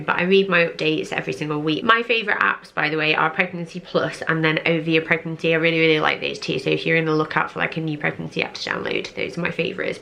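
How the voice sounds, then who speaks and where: A young woman in a casual vlog, hoarse and congested from a cold, chatting with lots of fillers and drawn-out repeated words. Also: on the low side, bright, forceful tone. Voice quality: croaky. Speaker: vlogger. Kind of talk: casual pregnancy update vlog.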